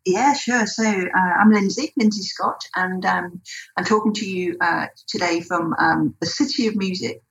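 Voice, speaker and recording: A woman speaks as a guest in a chatty, hesitant way, full of ums. Her voice sits low for a woman. The sound is a little muffled, like a remote line. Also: normal speed. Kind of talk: casual interview talk.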